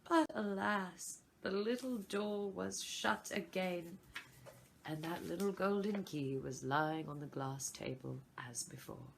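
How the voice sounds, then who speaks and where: A woman narrating a children's story expressively, with deliberate, dramatic phrasing. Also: fairly low pitch, creaky, slight background noise. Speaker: volunteer story reader.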